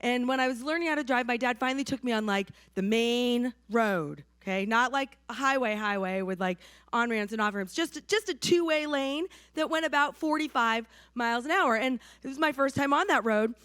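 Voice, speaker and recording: A hoarse woman from New Hampshire preaching a sermon to her church in a conversational way, often checking in with the congregation. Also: fairly high pitch, normal speed, a bit bright, dry recording. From New Hampshire, USA. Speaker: pastor.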